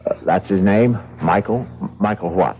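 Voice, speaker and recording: Worried farmer in old radio drama, low voice, earnest n insistent, dull slightly noisy recording. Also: male voice, normal speed. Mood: worried, urgent. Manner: earnest, hesitant, then insistent. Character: worried, insistent farmer defending his wife. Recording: dull old radio broadcast, faint noise.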